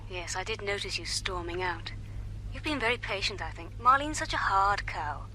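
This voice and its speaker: Radio drama: a teasing, sympathetic office worker, bright animated voice over faint noise and ambience. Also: female voice, mid-range voice, moderate pace, poor audio. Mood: coaxing, sympathetic.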